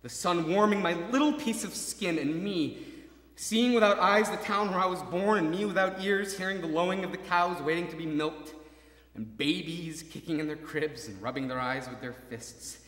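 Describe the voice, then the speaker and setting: A man performs a maimed young soldier in a dramatic stage monologue. The voice is bright and intense, halting over repeated phrases, swinging from murmurs to cries. It rings with echo and long reverb in a big hall. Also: high-pitched. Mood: ecstatic. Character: maimed, faceless young soldier, anguished, desperately hopeful.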